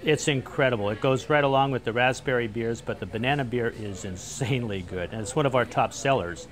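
A man in his fifties, a cafe owner, talking in a relaxed, friendly way in an interview. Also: medium pitch, brisk. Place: cafe with rustic walls, indoors.

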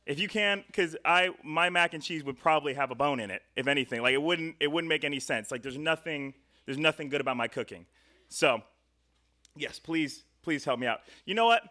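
A man's voice: fairly high pitch, brisk, pushed and bright. A man in his twenties hosting a live show in a casual, chatty, jokey way. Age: 20s. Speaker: host. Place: indoor studio. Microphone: handheld microphone on stand.

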